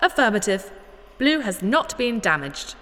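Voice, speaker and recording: Chirpy childlike little robot, very echoey. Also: female voice, medium pitch, big pitch swings, a little slow. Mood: polite, literal. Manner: refers to itself by name in formal literal phrases. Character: small chirpy childlike robot named Blue, literal and curious. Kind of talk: sci-fi audio drama acting. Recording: reverberant cave effect with faint noise.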